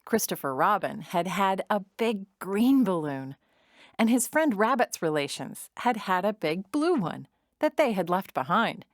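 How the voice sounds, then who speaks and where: A woman narrating a children's storybook drama in an expressive, deliberate storytelling manner. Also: medium pitch, dry recording, studio quality.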